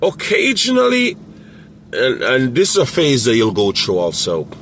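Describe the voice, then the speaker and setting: A man talking casually to camera as a parent coach, rambling with many fillers and restarts, with a strong, loud voice. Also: mid-range voice, wildly swooping pitch, normal speed, slight background noise.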